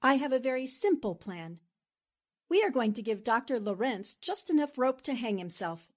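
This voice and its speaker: Shrewd female reporter, played by an actress, high-ish voice, decisive and brisk, vintage radio drama. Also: sing-song. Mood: firm, scheming. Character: shrewd, take-charge woman newspaper reporter. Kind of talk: old-time radio drama acting.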